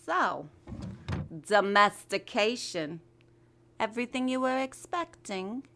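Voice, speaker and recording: A woman's voice: a little high, expressive, takes it slowly, pushed and bright, a little hiss or hum, exaggerated voice. A woman voicing a sly, sardonic and pushy magical sidekick to a magician in an audio drama. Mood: teasing. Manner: teasing, sardonic.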